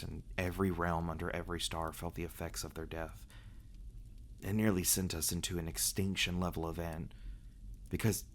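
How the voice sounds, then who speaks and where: A man playing a reclusive, brooding vampire who confides earnestly and haltingly, in an ASMR roleplay audio drama style. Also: sits low, slight background noise, close to an everyday voice. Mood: grave, regretful. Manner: halting, hesitant delivery with dramatic pauses and sighs.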